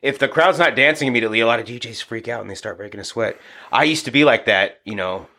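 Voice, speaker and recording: A man in his thirties chatting on a podcast, loose and profane, with a bright, forceful voice at a middling pitch. He tells stories and drops into short acted asides as he goes. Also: quick pace. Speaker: podcast guest, boxing coach and former DJ. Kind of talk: casual podcast chat.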